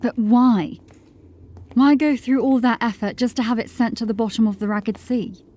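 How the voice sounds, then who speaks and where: Woman playing a mellow, serious watch officer. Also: on the high side, steady tone, moderate pace, close to an everyday voice. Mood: concerned. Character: sober, thoughtful woman officer of fantasy watch. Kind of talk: fantasy audio drama acting.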